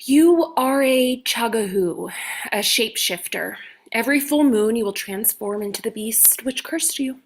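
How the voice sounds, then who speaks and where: A woman's voice: a little high, expressive, a little slow. A woman playing a stern, mysterious guardian of a shapeshifter, solemn and commanding, in a comedy horror reading. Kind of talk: comedic horror script table read.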